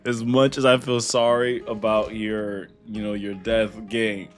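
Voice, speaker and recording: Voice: mid-range voice, level tone, measured pace. A streamer reacting casually to a video game, teasing and swearing lightly. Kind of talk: casual gameplay reaction, reading some lines aloud. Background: video game music.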